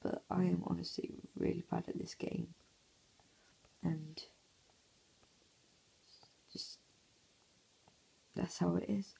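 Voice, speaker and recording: Hoarse, gravelly half-whispering young man. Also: high voice, level tone, slow pace, pauses often, warm tone, low-quality recording. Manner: half-whispering to avoid waking sleepers. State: hoarse, croaky voice. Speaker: gameplay commentator. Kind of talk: casual mobile gameplay commentary. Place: home, early morning. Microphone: phone.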